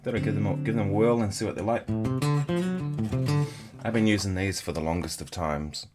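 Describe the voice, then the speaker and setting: Sniffly man chatting over loud guitar. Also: lowish voice, brisk. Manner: chatty, thinking aloud between guitar playing. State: sniffly from a stuffy nose. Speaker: guitarist hosting a livestream. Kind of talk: casual livestream chat. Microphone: in-ear monitors, studio mic. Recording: livestream with loud guitar behind. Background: loud electric guitar.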